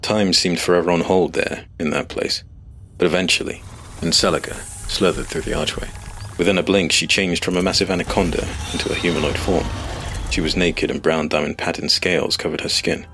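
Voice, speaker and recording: A man's voice: sits low, steady tone, a little fast, vocal fry, a bit bright, slight background noise. A man reading a scripted first-person fantasy story as its narrator.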